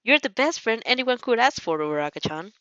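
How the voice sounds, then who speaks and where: A woman voicing an earnest, excitable teenage heroine in an audio fan-fiction drama, polite and teasing with an eager, gushing delivery. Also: fairly high pitch, a little fast, no echo, dull audio. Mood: warm, encouraging.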